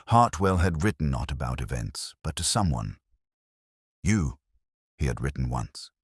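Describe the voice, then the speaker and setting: A man narrating a scripted first-person detective story in a measured, literary, brooding manner. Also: rumbling low, dry recording, studio quality.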